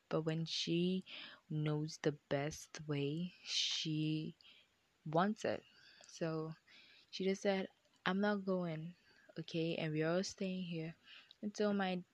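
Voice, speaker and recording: A young woman vlogger with a deep, low-set voice talks unhurriedly and confidingly to her viewers, sliding now and then into hushed, whispered asides. The sound is close and dry, with no room around it. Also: slow, no echo. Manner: chatty, keeps addressing viewers as guys, drops into hushed whispered asides. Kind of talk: personal storytelling to viewers.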